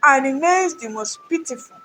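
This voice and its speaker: A woman acts out a defiant, mighty man from a folktale. The voice is high, and the delivery is declamatory and full of solemn authority. Some noise sits behind it. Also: animated, moderate pace, pushed and bright. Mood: solemn, authoritative. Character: mighty, defiant male hero telling solemn riddling tales.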